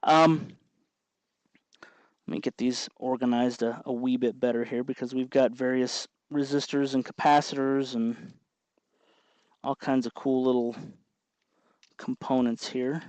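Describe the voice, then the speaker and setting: A man hosting an informal electronics tutorial, explaining things off the cuff in a halting way as he thinks aloud. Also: mid-range voice, level tone, darkish tone, no room sound. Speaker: host of an electronics tutorial show. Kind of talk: unscripted hands-on tutorial narration.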